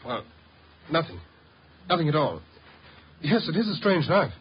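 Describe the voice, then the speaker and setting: An actor playing a nervous, worried gentleman seeking help, hesitant and stammering, in old-time radio style. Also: a little high, big pitch swings, measured pace. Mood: uneasy, stammering. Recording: muffled, noisy 1950s radio recording.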